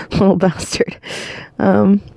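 A woman hosting a bedtime podcast from her bed, exhausted and losing her voice, talking softly and drowsily in a rambling half-whisper. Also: medium pitch, extremely slow, gravelly, dry recording. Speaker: podcast host. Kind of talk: sleepy bedtime monologue. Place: lying in bed at home.

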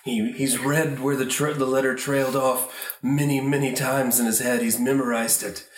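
A man narrating an improvised radio play in a rambling, halting way, often trailing off. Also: mid-range voice, level tone, distant mic. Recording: echoey room, off the mic.

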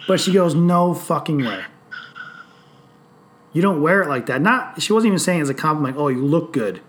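A man in his late thirties talking casually on a podcast, animated and swearing freely as he tells stories. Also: a little high, brisk, slight background noise. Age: 30s. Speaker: podcast host.

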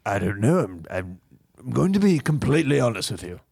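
A man plays a pompous, moneyed bachelor hosting a comedy dating show. His affected, haughty upper-class delivery swoops up and down in a lively way. The voice is thick with gravelly creak. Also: mid-range voice, brisk, full character voice. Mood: measured, judging. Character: pompous, snobbish rich bachelor playing dating show host. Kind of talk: improvised comedy sketch.

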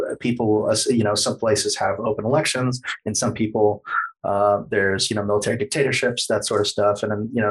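A man's voice: on the low side, breathy edge, low-quality recording. A man talking as a podcast guest, explaining history at length in a lecturing way, hedging often with plenty of fillers.

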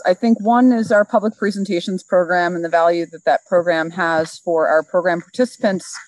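A woman's voice: medium pitch, dry recording, poor audio. A woman program leader explaining her ideas in a thoughtful, articulate way. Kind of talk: reflective interview answer.

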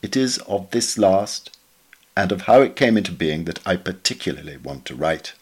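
Low-voiced man reading formally. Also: expressive, normal speed, husky. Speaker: podcast reader. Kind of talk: scripted narration, reading a memoir article aloud.

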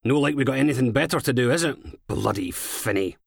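Audiobook role: male reader as scornful Scottish female inspector, raised pitch, animated, cynical and sweary. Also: fairly quick, gravelly, slightly rough, dry recording, studio quality, heavily acted. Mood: indignant. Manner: sweary, sardonic, uses Scottish slang. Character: brash, foul-mouthed, cynical female police inspector, scornful and impatient.

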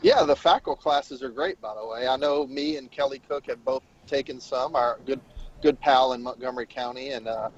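Opinionated man in his thirties, lo-fi. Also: mid-range voice. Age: 30s. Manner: opinionated, fast asides with frequent "you know". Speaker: podcast co-host. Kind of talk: casual political chat. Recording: dull lo-fi sound.

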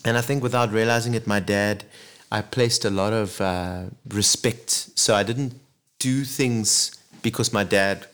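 A man's voice: lowish voice, steady tone, unhurried, hardly any pauses, fairly bright tone, clear, resonant tone. A man who grew up in Zambia and Zimbabwe, talking about his own life as an interview guest in an earnest, reflective way with many restarts. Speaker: guest, musician.

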